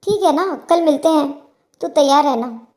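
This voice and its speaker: Woman playing a high, chirpy young friend. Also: expressive, normal speed, a little mellow. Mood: friendly, eager. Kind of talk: dramatized phone chat in a story.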